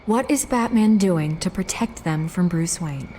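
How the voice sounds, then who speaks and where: A woman playing an earnest, probing news reporter in a video game, speaking in a pointed, deliberate, naturalistic way. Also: on the low side, lively intonation, mellow, slight background noise.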